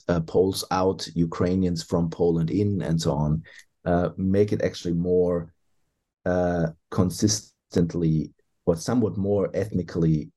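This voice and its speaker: A man, an author and historian, answering interview questions in a considered, hesitant way with many pauses and restarts. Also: low-pitched, warm tone, slightly rough.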